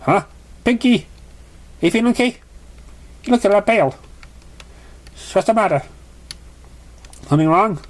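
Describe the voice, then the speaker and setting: A man's voice: sits high, lively intonation, normal speed, pauses often, voice pushed up. A man voicing a friendly, worried female unicorn pony in a story reading, chatty and full of questions. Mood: concerned, questioning. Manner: chatty, questioning, slightly rambling.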